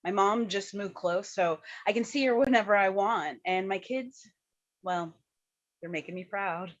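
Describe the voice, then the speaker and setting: An actress playing a weary but grateful working mother, candid and a little halting in a staged reading. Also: medium pitch, close to an everyday voice. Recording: dull, lo-fi microphone sound.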